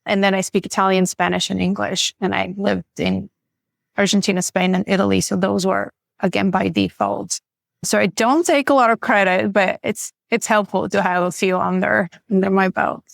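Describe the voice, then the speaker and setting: A woman's voice: medium pitch, fairly even intonation, moderate pace, creaky, dry recording, studio quality. A woman, speaking as a podcast guest in a frank, matter-of-fact way. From Bulgaria. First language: Bulgarian. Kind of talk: casual interview chat.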